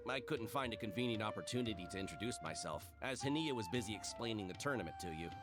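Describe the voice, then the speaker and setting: A man voicing a courteous, theatrical tournament staffer in a video game, welcoming and flattering. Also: on the low side, fast, close to an everyday voice. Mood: courteous, encouraging. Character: courteous, showy male tournament staffer, eagerly welcoming contestants. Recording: game music behind.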